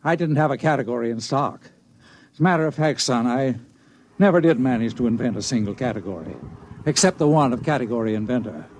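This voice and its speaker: Man acting a shaky, excitable old swindler. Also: mid-range voice, brisk, close to an everyday voice. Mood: rueful, grumbling. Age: older. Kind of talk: 1950s radio drama acting. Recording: noisy old 1950s radio recording.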